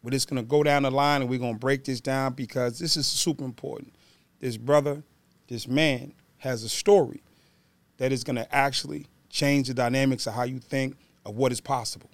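A man's voice: mid-range voice, steady tone, moderate pace, no echo. A man hosting an interview, emphatic and hyped, pressing his guest with questions. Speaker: interview host. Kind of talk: conversational interview.

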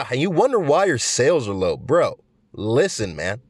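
A man's voice: mid-range voice, huge pitch swings, a little slow, dry recording. A rambling, laid-back aging stoner, put on by a man, drawling his words and tacking "man" onto everything. The sound is dry and close, with no room around it. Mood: mellow griping. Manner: drawling, peppered with "man" and "bro".

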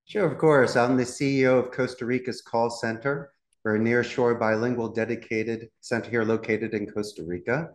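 Middle-aged man from philadelphia, confident and upbeat, mid-pitched voice, echoey room. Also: steady tone, moderate pace. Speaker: call center CEO, podcast guest. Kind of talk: podcast interview.

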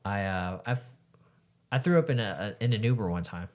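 A man's voice: fairly low pitch, lively intonation, brisk, a little mellow. A man co-hosting a casual podcast, talking in a laid-back way with lots of fillers. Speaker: podcast co-host, photographer. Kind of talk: casual chat.

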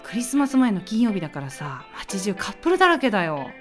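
A woman's voice: medium pitch, big pitch swings, fast talker, soft background music, pitched below the performer's own voice, lightly acted. A woman playing a sweet young woman who misses her long-distance boyfriend, talking affectionately on the phone in an amateur radio drama. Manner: soft, affectionate phone talk.

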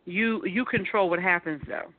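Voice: medium pitch, moderate pace, dry recording. A host teasing and playfully leading a casual group chat on an adult late-night show. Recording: tinny phone line with some noise.

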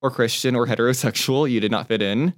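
A man's voice: mid-range voice, quick pace, no echo, clean studio sound. A young man from California, a chef guest on a podcast, telling his story in an easygoing way and laughing often. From born in Taiwan, raised in California. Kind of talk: casual interview chat.

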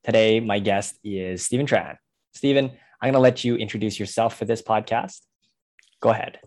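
Man, podcast host, low voice, encouraging interviewer. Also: moderate pace, darkish tone.

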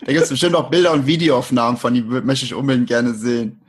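A man hosting a casual interview podcast, enthusiastic and quick to laugh, using casual slang. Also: medium pitch, steady tone, breathy edge. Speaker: podcast host.

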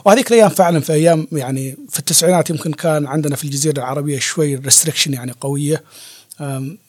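A man's voice: fairly high pitch, racing, darkish tone, no room sound, clean studio sound. A man hosting an interview, chatting casually with his guest. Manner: conversational, many fillers and restarts. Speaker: host.

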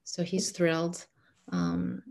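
A woman's voice: on the low side, big pitch swings, takes it slowly. A middle-aged woman who grew up in Egypt, speaking as an interview guest in an earnest, chatty way full of restarts. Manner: warm, earnest, many fillers and restarts. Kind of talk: casual conversational interview.

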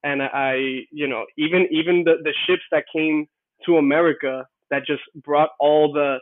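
A man's voice: fairly high pitch, steady tone, normal speed, a little hiss or hum. A man from New York City, a podcast guest chatting casually and rambling, with many repeated false starts. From Queens, New York City. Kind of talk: casual conversation. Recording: tinny phone-like line, dry.

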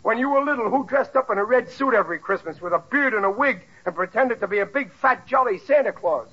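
A man's voice: extremely high pitch, animated, fast talker, husky, exaggerated voice. An actor playing a stubborn, easily flustered working-class family man, in a 1940s radio sitcom. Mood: indignant. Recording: dull vintage radio recording.

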